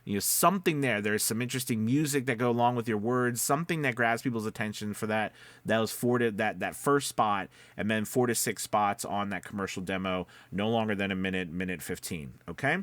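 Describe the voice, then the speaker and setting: A man's voice: lowish voice, a little fast, hardly any pauses, a bit bright, dry recording. A man hosting a casual instructional vlog as a coach, explaining conversationally and often checking in with the listener. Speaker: voice-over coach and video host.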